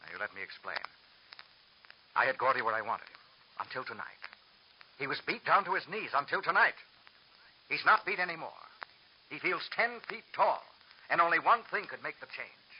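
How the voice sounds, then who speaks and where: Silky, menacing nightclub bully for vintage radio drama; very animated intonation, dull hissy sound. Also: male voice, a little high, moderate pace, pauses often, husky. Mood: insistent, bullying. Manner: coaxing politeness that turns to bullying threats. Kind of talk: 1950s radio drama acting. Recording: muffled, hissy old radio recording.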